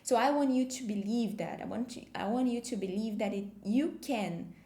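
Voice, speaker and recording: A woman's voice: fairly high pitch, moderate pace, dark tone, roomy sound. Encouraging Brazilian woman teaching a lesson. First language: Portuguese. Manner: encouraging, with fillers and restarts. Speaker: language teacher. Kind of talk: online language lesson.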